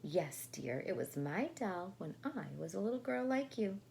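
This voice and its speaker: Storybook grandmother acted by a woman: elderly, loving, gently measured, lilting, faint background noise. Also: mid-range voice, big pitch swings, gravelly, voice pushed up. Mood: kindly. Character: patient, affectionate old Russian grandmother.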